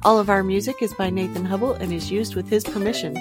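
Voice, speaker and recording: A woman's voice: medium pitch, fast. A woman hosting a podcast, reading a scripted intro and outro. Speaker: podcast host. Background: music.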